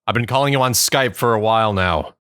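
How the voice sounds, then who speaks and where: A man's voice: on the low side, brisk. A man acting as a stand-in co-host, reading out questions and trading scripted banter.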